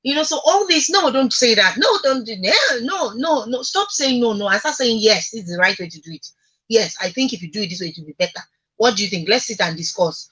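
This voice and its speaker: A woman's voice: a little high, animated, fairly quick, sharp tone, breathy edge, low-quality recording. A Nigerian woman hosting a conversational radio discussion, speaking emphatically and repeating words for stress. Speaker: radio talk show host.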